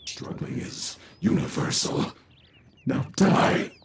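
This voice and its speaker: An actor playing a sadistic, maniacal killer in a video game, with a raspy, breathy snarl and theatrical, gloating menace. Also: male voice, slow, a little hiss or hum, close to an everyday voice. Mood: gloating, sinister. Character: sadistic, maniacal male killer who relishes violence. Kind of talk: video game character voice lines.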